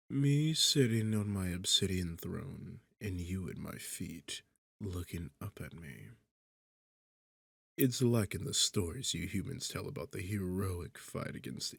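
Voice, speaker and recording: A man voicing a smug, sarcastic demon king in an ASMR roleplay, with a husky, gravelly low voice and a mocking, theatrical delivery. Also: no room sound, heavily acted. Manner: mocking, teasing, condescending, theatrical. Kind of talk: ASMR roleplay audio drama.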